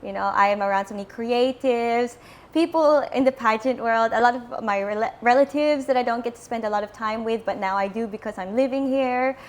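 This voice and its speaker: Woman, 20s, from Australia, bubbly guest, somewhat high voice. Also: brisk. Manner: bubbly, code-switching English and Tagalog. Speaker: pageant contestant guest. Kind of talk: casual interview chat.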